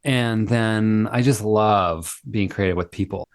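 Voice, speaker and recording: A fairly low-pitched man talks with easy enthusiasm, often affirming what he hears. He is heard over a video call, and the sound is dry with no room echo. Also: unhurried, smooth. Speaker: guest, singer-songwriter. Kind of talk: casual interview chat.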